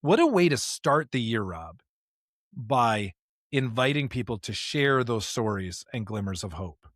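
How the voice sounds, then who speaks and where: An American man with a mid-pitched voice talks as a podcast co-host. He is earnest and reflective, leaning into preacherly rhetorical questions. The sound is close and dry. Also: lively intonation, a little slow, a bit bright, dry recording. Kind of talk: conversational discussion of scripture.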